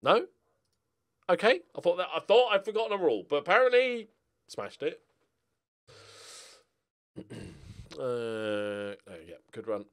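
A man's voice: fairly high pitch, sing-song, unhurried, long pauses, fairly bright tone. A middle-aged British man giving casual, rambling, jokey gameplay commentary, thinking aloud as he swings between muttering and exclaiming. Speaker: gaming streamer playing a football management game.

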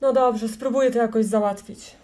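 Actress as a timid, hesitant grandmother, highish voice. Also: steady tone, normal speed, mellow, close to an everyday voice. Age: middle-aged. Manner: hesitant, apologetic. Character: timid, self-sacrificing grandmother in her forties. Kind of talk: audio play dialogue.

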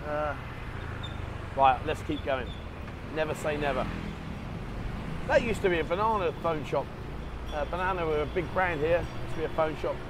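A man's voice: a little high, moderate pace, long pauses. Chatty man vlogging from a motorbike. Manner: chatty, rambling, swears casually. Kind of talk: casual running commentary. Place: outdoors on a motorbike in town traffic, rain. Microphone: action camera held in hand. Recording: street and traffic noise behind.

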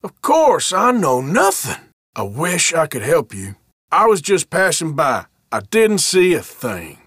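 Irritable old west outlaw for a game, american western drawl, folksy n defensive, pitched up high, forceful edge. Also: male voice, wildly swooping pitch, measured pace, husky, no echo, studio quality, full character voice. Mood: conciliatory. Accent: American, rural Western drawl. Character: gruff Old West outlaw cowboy, male, irritable and wary. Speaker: video game character. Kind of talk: game voice lines, short barks.

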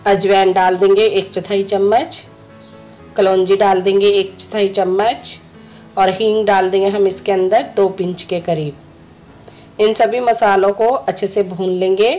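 Slightly hoarse woman explaining a recipe. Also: medium pitch, fairly even intonation, unhurried, mellow, soft background music. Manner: instructive, friendly, repeats steps. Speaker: cooking channel host. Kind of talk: home cooking narration. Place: home kitchen.

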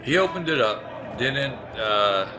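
A man doing color commentary on a curling match, calmly explaining shot choices. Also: mid-range voice, slow, noisy background. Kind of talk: sports commentary.

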